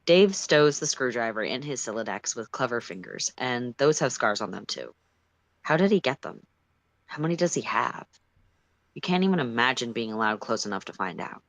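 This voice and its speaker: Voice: mid-range voice, animated, normal speed, gravelly, a bit bright, no room sound. A storyteller reading fan fiction aloud in the second person, with an emphatic, dramatic and exasperated delivery. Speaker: storytime livestream reader.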